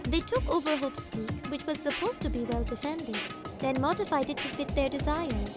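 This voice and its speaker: A woman playing a composed, analytical teenage schoolgirl in an anime fandub, speaking gravely and formally. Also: sits high, lively intonation, moderate pace. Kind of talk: anime game fandub acting. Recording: music under the voice.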